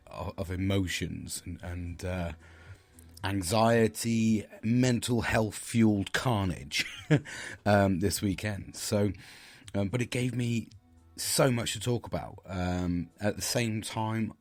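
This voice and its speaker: A middle-aged man from the United Kingdom with a husky voice that sits a little low. He hosts a casual solo podcast and talks hesitantly, with frequent ums and restarts. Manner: hesitant, many fillers and restarts. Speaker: podcast host.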